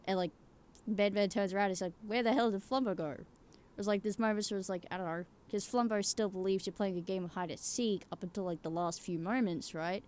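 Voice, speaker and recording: A woman's voice: medium pitch, fairly even intonation, brisk, darkish tone. A woman giving casual, rambling opinion commentary in an emphatic way, swearing casually and checking in with the listener. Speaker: YouTuber giving game opinions.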